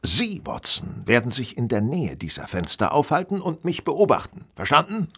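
Ein Schauspieler spielt in einem Hörspiel einen selbstsicheren, berechnenden Detektiv, der bestimmt und belehrend spricht. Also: male voice, medium pitch, wildly swooping pitch, fairly bright tone, slightly rough, full character voice. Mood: self-assured. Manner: self-assured, deliberate, instructive. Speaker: lead actor in an audio drama. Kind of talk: German audio drama dialogue.

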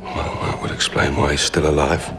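An actor playing a middle-aged British special forces captain, terse, dry and resolute, in dramatic game dialogue. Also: male voice, extremely low pitch, wildly swooping pitch, normal speed, background noise, exaggerated voice. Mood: dry, guarded. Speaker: actor in a military game cutscene.

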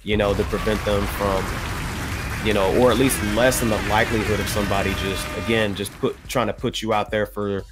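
An American man giving his opinion on a podcast panel in a measured, halting way with formal wording. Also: lowish voice, steady tone, over music. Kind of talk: panel discussion, offering opinion. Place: indoor room on a video call.

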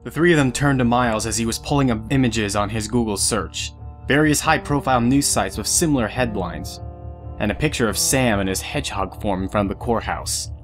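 A man's voice: mid-range voice, fairly quick, soft background music. A man reading scripted third-person narration for an audio drama.

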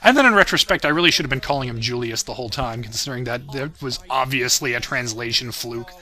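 A man's voice: medium pitch, fast talker, sharp tone. A man giving casual, self-deprecating commentary on his own parody series, chuckling and swearing as he goes.

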